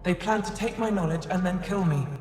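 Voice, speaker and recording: A woman's voice: on the low side, brisk, a little mellow, a little hiss or hum, natural voice. A woman voicing an ancient female alien AI in a video game, weary, proud and formal, with a pleading edge. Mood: guarded, formal. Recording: echoey hall with long reverb.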